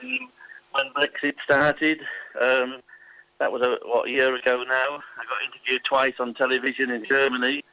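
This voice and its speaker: A middle-aged Englishman with a bright, forceful voice talks in a chatty, rambling way and stops often for ums and restarts. He sounds like a radio interview guest. The sound is dry and close. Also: medium pitch, little pitch movement, moderate pace, no echo, poor audio. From England. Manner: chatty, hesitant with many ums.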